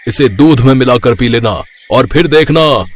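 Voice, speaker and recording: A man's voice: mid-range voice, normal speed, close to an everyday voice. A man voicing a reassuring, solemn tantric holy man in a cartoon moral story.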